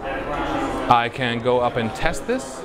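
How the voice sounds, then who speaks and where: A man's voice: mid-range voice, very animated, slow. A man giving a live product demonstration in an upbeat, playful showman's manner. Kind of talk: live stage demo presentation. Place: busy trade show floor. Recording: loud crowd noise behind. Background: convention crowd.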